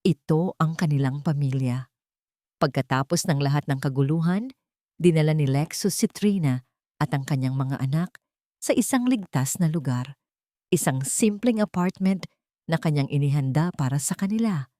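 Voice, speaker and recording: A woman's voice: low-pitched, animated, normal speed, dark tone, no room sound, clean studio sound. A woman narrator reading a scripted story in a dramatic style.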